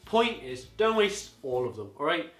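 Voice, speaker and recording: An eighteen-year-old man giving rambling, casual advice to camera from a living-room sofa, his voice going a bit hoarse. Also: fairly high pitch, big pitch swings, normal speed, a bit bright, echoey room. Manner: rambling, chatty, peppered with "you know". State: voice getting hoarse. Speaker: student vlogger. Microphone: camera on a tripod across the room.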